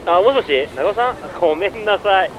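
A man's voice: high voice, expressive, a little fast, background noise. A man playing an earnest, apologetic younger friend with polite but casual speech in a scripted radio drama. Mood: apologetic, flustered. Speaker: radio show regular acting in a drama.

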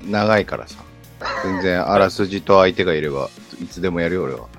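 Man, deep voice, fast, stern teacher manner, pompous critique, background music. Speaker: workshop director and teacher. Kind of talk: improvised workshop critique. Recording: music behind the voice.

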